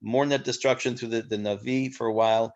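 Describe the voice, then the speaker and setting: A man's voice: medium pitch, fairly even intonation, brisk, highs cut off. A man hosting and teaching a Torah class, talking casually and thanking his guest effusively with many fillers.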